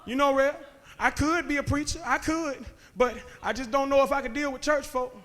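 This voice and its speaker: A man, preaching a sermon that moves between joking talk and shouted calls to the men. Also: very high voice, fairly even intonation, normal speed. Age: 30s. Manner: conversational preaching that builds to shouting, with laughs and rhetorical questions. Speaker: guest preacher. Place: church sanctuary.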